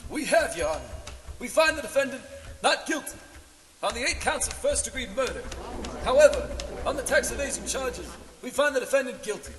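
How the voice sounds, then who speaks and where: Man playing a very high-voiced formal juror. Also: expressive, fairly quick. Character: formal jury foreman announcing a verdict. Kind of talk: old-time radio drama acting. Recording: echoey hall, loud noise.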